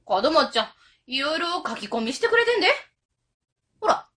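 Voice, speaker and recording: A woman's voice: sits high, sing-song, moderate pace, bright, forceful tone, exaggerated voice. A woman playing a brash, nosy but good-natured auntie with an Osaka accent in an amateur radio drama, talking bluntly and familiarly. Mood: curious, approving.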